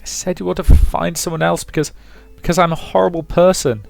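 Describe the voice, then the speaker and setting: A man's voice: a little high, steady tone, a little fast, creaky, darkish tone, soft background music, pitched above the performer's own voice. A man voicing an anguished, guilt-ridden young woman with a hot temper in a visual novel, stammering and emotional. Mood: exasperated. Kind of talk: visual novel character reading.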